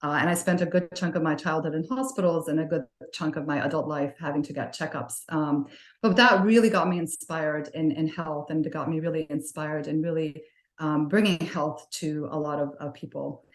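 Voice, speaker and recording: A middle-aged woman with a low voice speaks in a thoughtful, conversational way, often pausing on fillers and restarting her sentences. Her voice is recorded in a roomy, echoey space. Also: fairly even intonation. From Libya. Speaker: podcast guest. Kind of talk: conversational interview answers. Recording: roomy echo.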